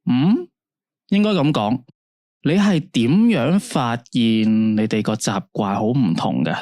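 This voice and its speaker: A man's voice: medium pitch, expressive, slow, dark tone, no room sound, studio quality. A man playing a chatty, easygoing late-night radio host in a radio drama, speaking Hong Kong Cantonese in a playful, conversational way. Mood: friendly, curious.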